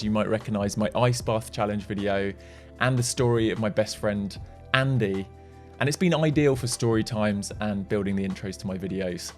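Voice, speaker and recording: Man narrating a vlog, lowish voice. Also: a little mellow. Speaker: vlogger host and interviewer. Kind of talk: scripted narration and interview questions.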